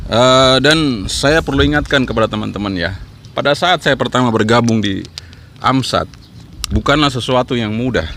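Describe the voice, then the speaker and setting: A man's voice: medium pitch, moderate pace, fairly bright tone, slight background noise. A man giving an informal instructional vlog talk, encouraging and repeating his points as he explains. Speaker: amateur radio vlogger and trainer.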